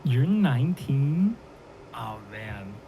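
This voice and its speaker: A man's voice: mid-range voice, big pitch swings, slow. A man hosting a casual podcast chat, talking earnestly and encouragingly with lots of fillers. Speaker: podcast host. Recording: noisy online call audio.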